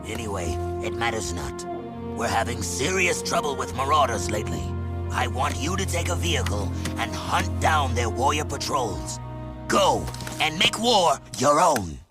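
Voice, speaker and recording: Man playing stern wise warlord, solemn, formal, expressive intonation, medium pitch, video game cutscene. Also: moderate pace, over loud music, lightly acted. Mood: grave, commanding.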